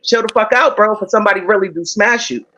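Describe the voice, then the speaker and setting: A man joining a casual podcast as a guest, relaxed and chatty. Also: high voice, fast. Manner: relaxed, chatty, says bro. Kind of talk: casual chat.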